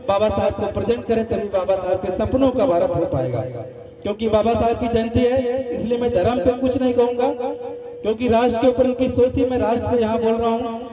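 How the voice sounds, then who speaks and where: A man giving an earnest public speech at a commemoration, appealing to the audience and making pointed remarks. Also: high-pitched. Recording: muffled echoey hall from far off, crowd behind.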